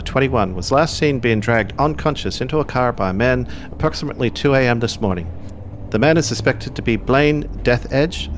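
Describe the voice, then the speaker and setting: A man running a tabletop game as game master, narrating in a measured way. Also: medium pitch, a little hiss or hum. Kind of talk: tabletop game narration, read like a news bulletin.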